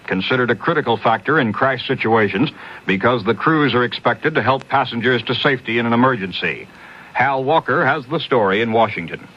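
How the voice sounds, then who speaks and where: A male newscaster reads a scripted radio bulletin in 1970s style. His voice sits at a medium pitch. The recording is dull and lo-fi, taken off old AM radio.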